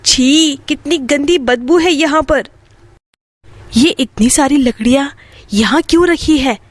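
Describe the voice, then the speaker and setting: A woman's voice: sits high, slow, no room sound. A woman voicing a spoiled, rude young city wife in a storybook tale, haughty and complaining. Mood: disgusted. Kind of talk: Hindi bedtime moral story dialogue.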